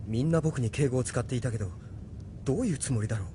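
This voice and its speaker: A man's voice: medium pitch, fast, noisy background, close to an everyday voice. A man playing an earnest, wary young man who speaks seriously and questioningly in an audio drama. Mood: suspicious. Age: young adult. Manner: plain-spoken, questioning, serious. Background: music.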